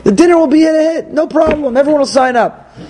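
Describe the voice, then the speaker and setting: A man doing a high coaxing God voice. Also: dark tone, a little hiss or hum. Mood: pleading, coaxing. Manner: coaxing, rapid reassurances. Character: God coaxing a reluctant leader, pleading and insistent.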